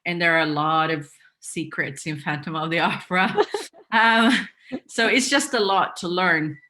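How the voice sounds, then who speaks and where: A woman's voice: fairly low pitch, a little slow, noisy background. A woman from Sweden, not a native English speaker, chatting as an interview guest with many fillers and restarts. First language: Swedish. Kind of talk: casual interview chat.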